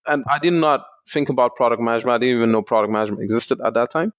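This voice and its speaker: A young man from Senegal, a startup founder, explaining his work in a measured way in a podcast interview. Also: mid-range voice, steady tone, dry recording. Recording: dull lo-fi dry sound.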